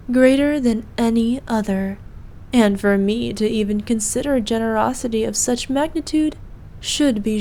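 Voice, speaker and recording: A woman's voice: fairly high pitch, mellow, slight background noise. A woman playing an evil, imperious queen in a scripted roleplay, speaking in a haughty, formal, commanding manner. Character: evil imperious queen, cruel to subjects, doting on her knight. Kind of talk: scripted ASMR roleplay acting.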